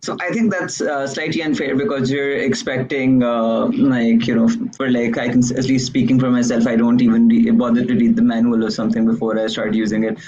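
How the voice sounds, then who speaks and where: A man's voice: medium pitch, fairly even intonation, brisk, few pauses, darkish tone, highs cut off. A man hosting an interview, asking questions hesitantly, with many likes and restarts. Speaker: host. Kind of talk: casual interview.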